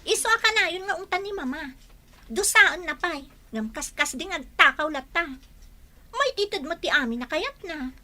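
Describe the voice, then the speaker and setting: A piercingly bright, very high young woman's voice acted by a woman. She sounds coy and gossipy, a sly talker in a melodramatic audio drama. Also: sing-song, moderate pace, full character voice. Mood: accusing.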